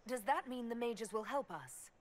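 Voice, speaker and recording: An actress playing a direct, plucky quest heroine in a fantasy game, plain-spoken in manner. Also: female voice, on the high side, expressive, normal speed, a little hiss or hum, natural voice. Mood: direct, determined. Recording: long hall reverb.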